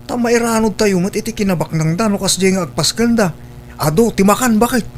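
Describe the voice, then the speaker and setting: Actor as easygoing husband, faint background hum. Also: male voice, high-pitched, brisk, close to an everyday voice. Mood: eager. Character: easygoing working husband talking with his wife. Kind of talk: Ilocano radio-style family drama acting.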